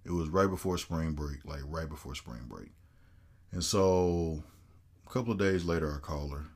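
A man co-hosting a podcast, telling a story in a relaxed, animated way and acting out the voices of people in it. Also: rumbling low, normal speed. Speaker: podcast co-host. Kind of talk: casual storytelling chat.

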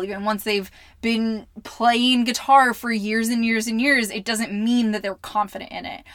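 A woman hosting a solo podcast as a life coach, upbeat and chatty, her voice slightly hoarse. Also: mid-range voice, fairly bright tone, no echo, clean studio sound.

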